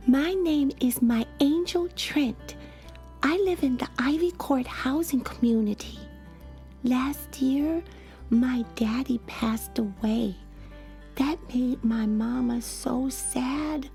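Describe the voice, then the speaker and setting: Storybook read-aloud: adult woman as earnest, wistful little schoolgirl, high, slow and gentle, music underneath. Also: gravelly, full character voice. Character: wistful, earnest young schoolgirl narrating her sad life.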